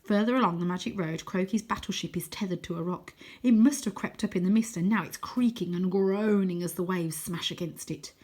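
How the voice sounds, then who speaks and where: A woman reading a children's storybook aloud in an expressive, dramatic way, sometimes hushing her voice for suspense. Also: lowish voice. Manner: dramatic, deliberate, dropping to hushed tones for suspense.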